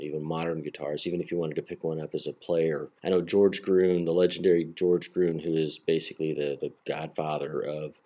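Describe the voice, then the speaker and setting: A man's voice: rumbling low, darkish tone. A man hosting a solo hobby podcast in a casual, rambling way, with many fillers and restarts, a little nasal from a cold, recorded on a laptop's built-in microphone. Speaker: podcast host.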